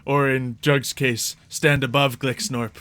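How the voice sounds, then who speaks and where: Male game master for fantasy tabletop: bright tone, slow delivery, storytelling to players. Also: medium pitch, level tone. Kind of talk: narrating a tabletop fantasy roleplay.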